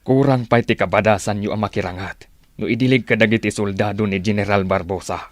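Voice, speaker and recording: Low-voiced stern Filipino mentor played by a man. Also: steady tone, brisk, dry recording, lightly acted. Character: stern, paternal rebel leader training young fighters. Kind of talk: Filipino radio drama acting.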